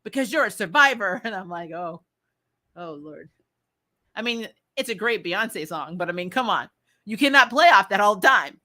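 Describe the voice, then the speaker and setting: A woman hosting a casual commentary video, giving blunt opinions in an animated, exasperated way. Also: medium pitch, normal speed. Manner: exasperated, blunt and animated, laughing as talk goes. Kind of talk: casual opinionated fan chat.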